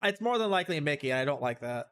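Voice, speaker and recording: A man's voice: fairly high pitch, expressive, very fast, fairly bright tone. A man giving livestream reaction commentary on a game, talking to himself and guessing aloud. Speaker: game streamer. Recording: music behind the voice.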